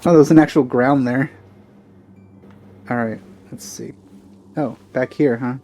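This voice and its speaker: A man's voice: mid-range voice, steady tone, measured pace, warm tone, slight background noise. A man giving rambling, casual commentary as he hosts a let's play.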